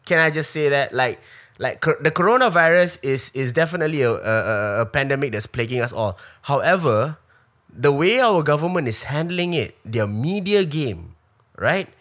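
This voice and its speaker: A man's voice: on the high side, lively intonation, moderate pace, fairly bright tone. Rambling Singaporean man in his thirties. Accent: Singaporean English. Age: 30s. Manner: rambling, casual, frequent fillers and restarts, swears freely. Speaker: comedian. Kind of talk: live-stream Q&A.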